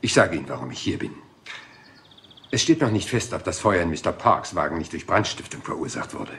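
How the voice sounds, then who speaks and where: An actor playing a rumpled, humble police inspector in a radio play, talking in a hesitant, rambling and overly polite way. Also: male voice, deep voice, very animated, brisk, fairly bright tone, a little hiss or hum, heavily acted. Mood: probing. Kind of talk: German radio play acting.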